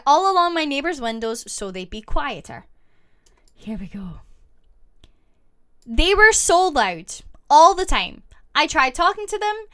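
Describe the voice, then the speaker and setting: A woman's voice: high voice, a bit bright. A woman streamer reading online stories aloud and reacting to her chat in a casual live-stream. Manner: reads posts aloud and reacts with quick asides to chat.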